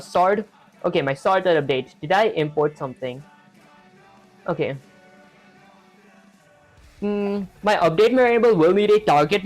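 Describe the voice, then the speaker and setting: Young man, high-pitched, casual rambling coding streamer, filler-heavy, soft background music. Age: young adult. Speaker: streamer teaching programming. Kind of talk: live coding stream, reading chat.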